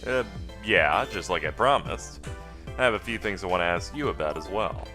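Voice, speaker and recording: A man's voice: low-pitched, animated, normal speed, music in the background. A man voicing a sardonic, probing amnesiac dead man in a detective game. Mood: matter-of-fact. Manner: sardonic, questioning, pressing. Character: wry amnesiac dead man, a needling amateur investigator.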